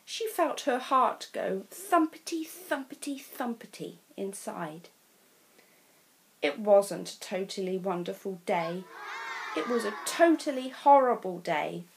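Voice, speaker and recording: A woman's voice: medium pitch, a little hiss or hum. A woman from England with an English accent reading a children's picture book aloud as a storyteller. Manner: slow, expressive read-aloud.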